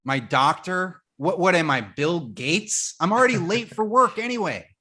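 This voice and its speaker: A man playing an eager, apologetic office worker, stammering and excitable, in a script read. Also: high-pitched, moderate pace, exaggerated voice. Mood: indignant. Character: jittery, eager young male office worker, energized and apologetic. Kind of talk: screenplay table read.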